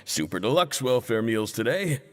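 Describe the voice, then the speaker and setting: An actor playing an obliging, chatty prison canteen cook in a video game, polite and eager to please. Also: male voice, mid-range voice, very animated, normal speed, long reverb tail, soft background music, close to an everyday voice. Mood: welcoming. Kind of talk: video game character dialogue.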